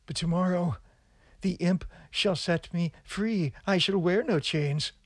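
A man voicing a chained, doomed madman in a gothic horror tale, with fevered, theatrical, drawn-out delivery. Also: high-pitched, dry recording, studio quality. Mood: bitter, resigned. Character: chained, doomed madman haunted by an inner imp.

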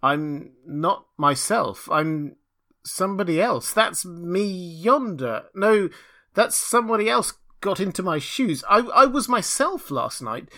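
A man voicing a bewildered, plaintive old man in a storybook, halting and agitated. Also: sits high, wildly swooping pitch, pitched above the performer's own voice, exaggerated voice. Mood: bewildered, distraught.

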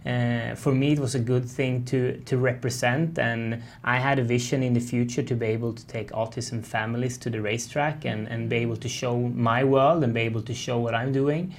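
A young man from Sweden with a Swedish accent, a race car driver being interviewed, talking in a halting, friendly way with many restarts. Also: medium pitch, steady tone. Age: young adult. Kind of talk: casual interview talk.

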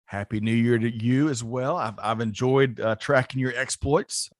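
A man's voice: medium pitch, normal speed, dry recording. A man hosts a casual interview, upbeat and chatty. He restarts his phrases often as he talks. His voice is dry and close to the mic. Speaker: podcast host.

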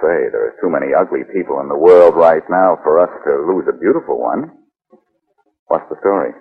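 Wisecracking 1940s radio detective, husky, lively. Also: male voice, a bass voice, brisk, heavily acted. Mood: breezy banter. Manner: glib, teasing banter. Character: wisecracking, flirtatious, cool-headed male private detective. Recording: muffled, lo-fi 1940s radio recording.

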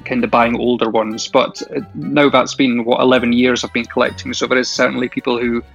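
A man's voice: mid-range voice, level tone, brisk, creaky, a bit bright, highs cut off, faint music behind. A man in his thirties with a Shetland accent, a friendly, chatty quiz contestant who hesitates now and then. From Shetland Isles, Scotland. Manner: friendly, chatty, laughs often, some hesitation. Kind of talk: casual interview answers.

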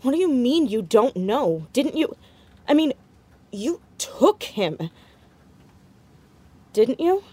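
A woman's voice: on the high side, very animated, takes it slowly, pauses often, mellow, breathy edge, heavily acted. A woman playing an anxious, determined teenage girl sleuth in an audio drama, speaking hesitantly but insistently. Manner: hesitant, stammering yet insistent. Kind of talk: naturalistic audio drama acting.

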